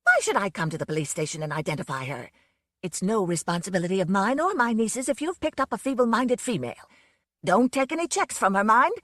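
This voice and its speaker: A woman voicing a furious older aunt who shouts in a haughty, indignant way. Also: medium pitch, expressive, a little fast, dry recording, studio quality.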